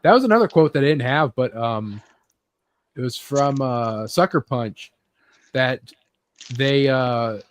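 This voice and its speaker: A man's voice: mid-range voice, expressive, measured pace, pauses often, no room sound. A man hosting a casual chat about gaming news, talking informally. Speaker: host.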